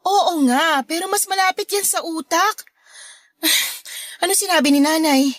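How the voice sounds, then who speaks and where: A woman with a Filipino accent playing a protective, caring older sister and young mother in a radio drama, concerned and gently scolding. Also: very high voice, measured pace, no room sound, studio quality. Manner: concerned, scolding on brother's behalf. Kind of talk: Tagalog radio drama acting.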